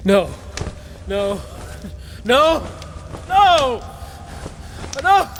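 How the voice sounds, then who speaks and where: A man acting in an audio drama as a haunted, exhausted traveller, halting and stammering. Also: very high-pitched, sing-song, fairly bright tone, exaggerated voice. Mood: desperate. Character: haunted, freezing, exhausted young-hearted male traveller. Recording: clearly noisy, windy ambience.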